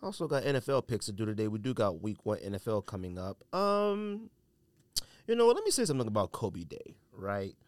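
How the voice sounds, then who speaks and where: A man's voice: lowish voice, very animated, fairly quick, dry recording. An American man hosting a solo podcast, giving opinionated commentary in slangy, colloquial speech and repeating phrases for emphasis. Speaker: podcast host.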